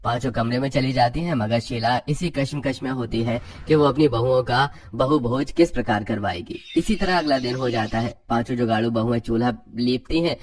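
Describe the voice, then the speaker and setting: A man's voice: medium pitch, moderate pace, few pauses, darkish tone, far from the mic, slight background noise. A man reading scripted story narration as the narrator.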